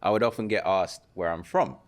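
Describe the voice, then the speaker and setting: A man with a British accent, a podcast guest, telling his story in a measured, reflective way. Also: low voice. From United Kingdom. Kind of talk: casual podcast conversation.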